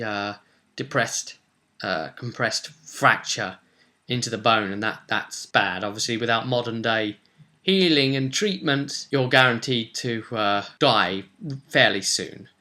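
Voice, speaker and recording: A man's voice: medium pitch, sharp tone. A man, casually recounting a tabletop roleplay session as game master in a rambling, colloquial way.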